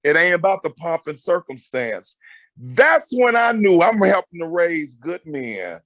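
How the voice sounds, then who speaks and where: A loud, emphatic middle-aged man giving animated, conversational advice as a guest counselor, often repeating phrases to drive them home. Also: a little high, unhurried, dry recording. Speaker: guest marriage counselor and mentor.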